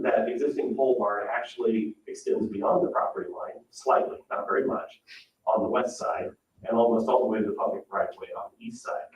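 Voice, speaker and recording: A man giving a measured, explanatory public presentation as a landscape architect at a meeting, with somewhat hesitant phrasing. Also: mid-range voice, darkish tone. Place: indoor public meeting room. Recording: roomy, distant mic, dull sound with noise.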